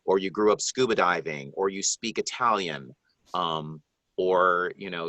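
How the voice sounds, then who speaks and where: A man's voice: low-pitched, a bit bright, dry recording. A man from the Bahamas, a guest narrator, giving earnest, rambling advice in a live video Q&A, with many ums and restarts.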